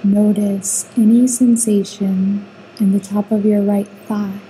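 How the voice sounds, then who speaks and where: A woman guiding a sleep meditation with soothing, unhurried instructions and long pauses between phrases. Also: mid-range voice, level tone, dark tone, slight background noise, rough recording. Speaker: meditation guide.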